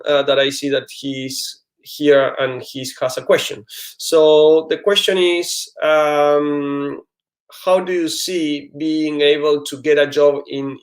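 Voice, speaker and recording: A man whose first language is Spanish, with a Spanish-speaking accent, hosting a live job-search Q&A stream in an earnest, hesitant way with many fillers and restarts. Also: fairly high pitch. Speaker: job search Q&A host, IT recruiter.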